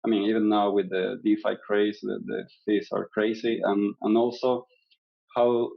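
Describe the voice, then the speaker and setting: Low-ish gravelly guy from venezuela, lots of uh and um, kinda dull lo-fi audio. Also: flat delivery, normal speed. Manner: hesitant, many fillers and restarts, laughs lightly. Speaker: interview guest, candidate. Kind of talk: casual interview answers. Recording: dull lo-fi sound.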